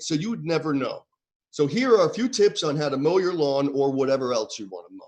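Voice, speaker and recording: Man, co-host, slightly high voice, teasing, deadpan parody, remote call. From New York. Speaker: podcast co-host. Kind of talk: mock-serious comic segment, casual chat.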